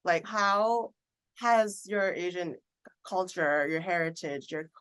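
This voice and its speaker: A woman from Thailand hosting a podcast, talking in a relaxed conversational way. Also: on the low side, takes it slowly, smooth, no room sound. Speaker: podcast host. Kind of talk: casual podcast interview.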